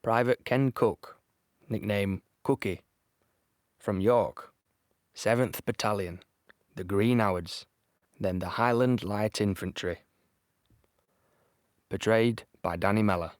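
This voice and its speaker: A man with a low voice takes his time over a scripted cast introduction. The recording is dry and free of echo. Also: slow, long pauses. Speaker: actor announcing a character.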